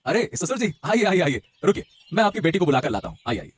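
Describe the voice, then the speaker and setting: A man's voice: sits high, very animated, fast talker, breathy edge, no room sound, heavily acted. A man voicing an earnest young husband in a Hindi cartoon, reasoning and pleading with hesitant insistence. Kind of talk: Hindi moral-story cartoon voice acting. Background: music.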